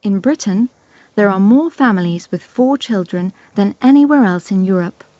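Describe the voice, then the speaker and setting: Woman announcer for an exam recording, slow even read, mellow tone, dry dull playback. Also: mid-range voice, no echo. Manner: slow, clear, even reading.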